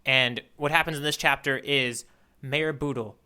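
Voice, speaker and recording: A man co-hosting a podcast, chatting casually and playfully as he recaps a story with animated, mock-earnest delivery. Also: mid-range voice, moderate pace, smooth. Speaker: podcast co-host playing the tourist. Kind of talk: casual bantering recap of a manga chapter.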